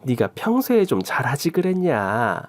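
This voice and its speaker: A man's voice: medium pitch, sing-song, a little slow, no room sound. A man voicing an affectionate, bossy elderly mother-in-law who scolds fondly, in a radio story. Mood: playful, doting. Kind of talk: Korean radio story acting.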